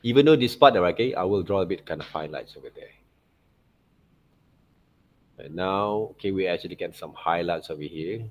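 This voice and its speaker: A man giving an unscripted step-by-step tutorial as an art teacher, halting and repetitive, often checking in with short tag words. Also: deep voice, animated, a little mellow, lo-fi sound. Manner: halting, repetitive phrasing with frequent "okay, right, see" checks. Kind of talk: unscripted step-by-step drawing demonstration.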